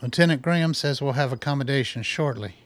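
An actor playing a weary, anxious research scientist in a radio drama, dry and conversational. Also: male voice, mid-range voice, fast, natural voice. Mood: guarded.

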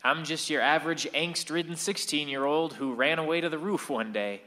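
A man's voice: on the high side, normal speed, pushed and bright. An actor playing an angst-ridden sixteen-year-old boy who narrates a radio play in a reflective way. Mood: wistful, reflective. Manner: reflective, storytelling to the listener. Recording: reverberant hall.

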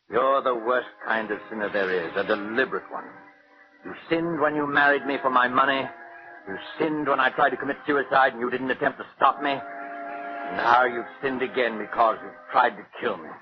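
A man's voice: medium pitch, normal speed, over music, natural voice. An actor playing an urbane, sardonic husband who mocks his wife in genteel, theatrical tones, in 1940s radio drama style. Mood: mocking, triumphant. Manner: theatrical, mocking, genteel exclamations. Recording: dull, hissy 1940s radio recording.

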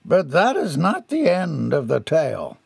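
A man voicing a commanding ship's captain who speaks in a grand, deliberate way, as if addressing a crowd. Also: fairly high pitch, wildly swooping pitch, creaky, husky, no room sound, full character voice.

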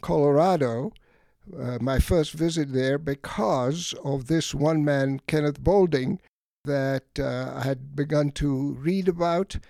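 An elderly man from Egypt and Greece, an academic guest reflecting on his life in a halting, deliberate way with many pauses. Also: a little high, gravelly, dark tone, no room sound, studio quality. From Egypt and Greece, of Greek parents. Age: late 70s or older. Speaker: interview guest, economics academic. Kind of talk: reflective autobiographical interview talk.

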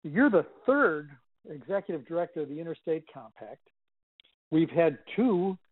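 A man's voice: fairly high pitch, very animated, moderate pace, no room sound. A man asking questions conversationally as an interviewer on a podcast. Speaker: interviewer, former school superintendent. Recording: dry, tinny phone line.

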